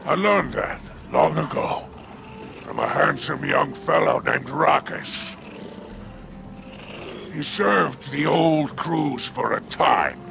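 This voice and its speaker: An actor voicing a smug, menacing alien crime boss in a video game, drawling and insinuating in a gravelly growl. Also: male voice, a little high, noisy background, full character voice. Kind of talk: video game cutscene monologue.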